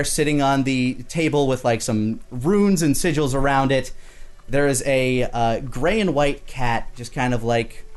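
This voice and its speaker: A man acting as game master, improvising narration in a casual, halting way peppered with filler slang. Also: medium pitch. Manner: casual, halting storytelling full of "like".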